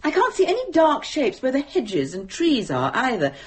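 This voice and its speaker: A woman's voice: high voice, huge pitch swings, moderate pace, husky, exaggerated voice. An actress playing an anxious, questioning woman trying to reason things out in a radio horror play, dramatic and probing. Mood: alarmed.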